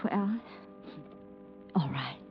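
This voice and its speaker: A woman's voice: deep voice, animated, extremely slow, heavily acted. An actress playing a coolly scheming wealthy widow in a 1940s radio mystery, coy and polished in her mock innocence. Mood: coy, calculating. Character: Janet, coolly scheming wealthy widow, feigning sweet helplessness. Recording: muffled old radio broadcast, organ music behind.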